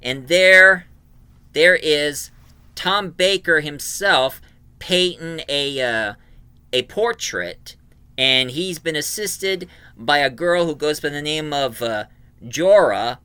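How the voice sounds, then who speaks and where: A man giving an informal, emphatic review of an audio drama, recapping it in a halting way. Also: fairly high pitch, animated, pushed and bright, clean tone, no room sound.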